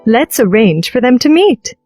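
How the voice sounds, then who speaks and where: A woman's voice: fairly high pitch, big pitch swings, normal speed, a little mellow, soft background music. A woman voicing a decisive, regal queen with a stately manner in a children's storybook tale. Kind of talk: children's storybook character acting.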